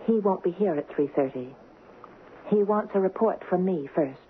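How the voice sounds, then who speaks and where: An actress playing an earnest, hesitant young schoolteacher in love, in a 1970s radio drama. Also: female voice, lowish voice, animated, moderate pace, close to an everyday voice. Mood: firm, pleading. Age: young adult. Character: earnest young schoolteacher, a woman engaged to a rich heir. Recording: muffled, noisy 1970s radio broadcast.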